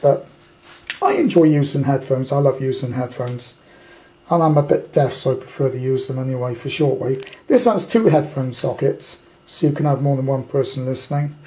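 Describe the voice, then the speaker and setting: A man's voice: a little high, warm tone. An older British man giving a casual, unscripted show-and-tell as a hobbyist, rambling along and grumbling bluntly. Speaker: amateur radio hobbyist presenting a kit. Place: indoors at a tabletop at home.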